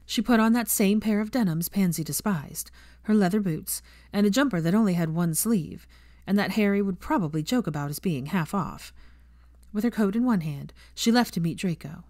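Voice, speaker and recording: A woman's voice: mid-range voice, lively intonation, moderate pace, darkish tone, no echo, studio quality. A woman reading scripted fiction as an audiobook narrator.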